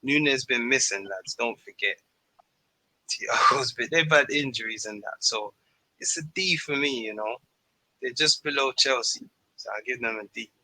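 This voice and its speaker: A man's voice: mid-range voice, moderate pace, sharp tone, no echo, poor audio. A man chatting casually as a football podcast panellist, in a slangy, matey way.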